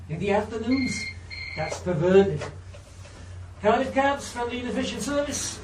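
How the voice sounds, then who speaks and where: An actor playing a cheeky cab dispatcher and driver who keeps up teasing banter in a radio drama. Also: male voice, very high-pitched, lively intonation, unhurried. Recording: hissy old radio recording, room echo.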